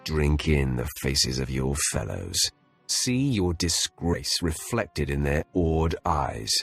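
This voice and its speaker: An actor playing a confident, imposing dark warrior in a video game, speaking in a commanding, cold and defiant manner. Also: male voice, extremely low pitch, sing-song, slow, vocal fry, slightly rough, heavily acted. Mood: cold triumph. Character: confident, imposing young Sith warrior, the emperor's enforcer. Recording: music under the voice.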